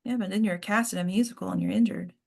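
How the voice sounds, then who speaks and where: A woman's voice: medium pitch, steady tone, fast, creaky. A woman hosting a table read, chatting casually and trailing off as she checks who has joined. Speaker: organizer and host of an acting table read. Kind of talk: casual chat while waiting for participants.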